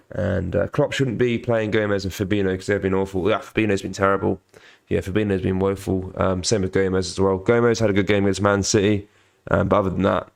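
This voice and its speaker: A young man hosts a casual football watch-along stream, his voice croaky from a cold and rough with fry. He sits very low in pitch. He talks haltingly, full of ums and restarts, as he reads out viewers' comments. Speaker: football channel host.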